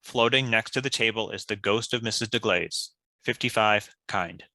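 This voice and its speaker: A man's voice: on the low side, moderate pace, sharp tone, no echo. A man reading scripted stage directions as a narrator.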